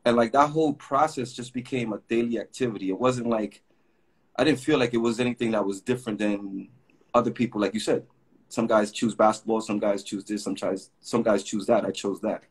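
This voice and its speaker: A man's voice: fairly low pitch, fairly even intonation, a little fast, breathy edge. A middle-aged man from Puerto Rico, chatting on a video call and telling long rambling stories full of fillers. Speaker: graffiti artist guest. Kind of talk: casual storytelling interview. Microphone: video call webcam.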